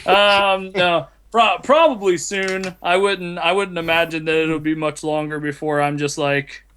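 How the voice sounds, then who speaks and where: A man's voice: a little high, fairly even intonation, a little slow, a bit bright, slight background noise. A man in his late twenties, raised in the United States, rambling and mocking himself as a podcast host. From Ireland, raised in the United States. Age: 20s. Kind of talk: casual chat.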